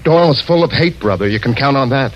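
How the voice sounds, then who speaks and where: A man's voice: medium pitch, expressive, a little fast, highs cut off, lightly acted. A man playing a surly, evasive American small-time crook who informs grudgingly, in slangy 1940s radio crime drama. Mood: defiant. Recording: noisy vintage radio recording.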